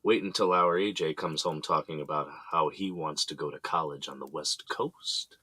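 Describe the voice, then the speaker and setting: A man plays a playful middle-aged husband and dad in an audio drama. His voice is very deep and husky, recorded dry, and he talks in a teasing, jokey way. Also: normal speed, sharp tone, no room sound, heavily acted.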